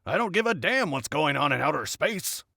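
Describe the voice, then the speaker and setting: A gruff military general, as a male narrator plays him, barks orders and threats in a storybook reading. He is short-tempered and curt, with a somewhat high voice that swings up and down sharply. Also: very animated, moderate pace, sharp tone, no room sound, studio quality, exaggerated voice. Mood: brusque, satisfied. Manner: barking, curt, threatening, swearing. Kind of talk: scripted audiobook character dialogue.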